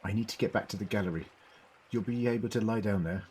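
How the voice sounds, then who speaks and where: A man's voice: fairly low pitch, fast, darkish tone, lightly acted. A man acting in an audio drama as an eccentric, inquisitive Doctor who muses precisely as he investigates. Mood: urgent, concerned. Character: male time-travelling Doctor, curious, eccentric, quick-thinking investigator.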